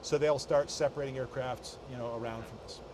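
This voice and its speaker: A man's voice: mid-range voice, fairly even intonation, fairly quick, background noise. A man with an American accent, an airline captain, explaining procedures in an interview. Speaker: airline captain overseeing pilot training. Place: flight training center, partly inside simulator.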